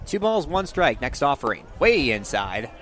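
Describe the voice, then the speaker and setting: A man's voice: mid-range voice, very animated, normal speed, a bit bright. A young man calling a game live as a sports play-by-play announcer. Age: young adult.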